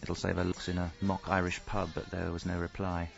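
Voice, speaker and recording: A rueful, self-pitying middle-aged husband narrates a radio comedy. His deadpan voice is low and creaky, with faint music in a slightly dull recording. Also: fairly quick.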